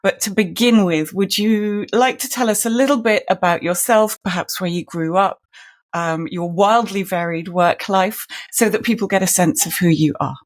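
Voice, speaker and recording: A woman hosting a podcast, reading an ad and introduction before chatting in an earnest, measured way. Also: mid-range voice. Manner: earnest, measured, warmly appreciative. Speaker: podcast host and coach. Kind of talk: scripted intro then interview chat.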